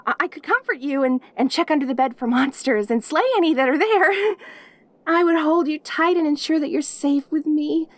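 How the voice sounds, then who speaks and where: A very high, girlish demon played by a woman, clingy and devoted in a yandere way. She speaks eagerly, tripping over her words, close and dry as if alone with the listener in an audio roleplay. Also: normal speed, no room sound, lightly acted. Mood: eager, doting. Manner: eager, stammering, giggly, devoted. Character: perky, lonely, clingy yandere demon girl, centuries old.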